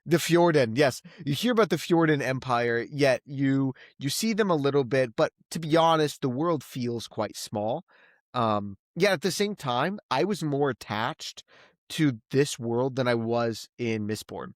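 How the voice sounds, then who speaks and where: A man with a mid-pitched voice talks casually as a review show host. He thinks out loud, often stopping to rephrase. The sound is dry, with very little room in it. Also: a little slow, dry recording. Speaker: podcast host reviewing books. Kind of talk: casual discussion.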